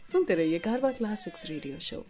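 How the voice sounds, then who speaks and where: A woman's voice: medium pitch, big pitch swings, fairly quick, darkish tone, soft background music. An Indian woman hosting a radio show, telling scripted stories in a chatty way straight to the listener and mixing in English phrases. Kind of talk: scripted radio storytelling and song introductions.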